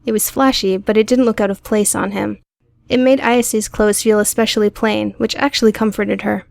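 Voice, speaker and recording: A woman's voice: medium pitch, steady tone, moderate pace, gravelly, darkish tone, no room sound. A woman reading scripted fiction as an audiobook narrator.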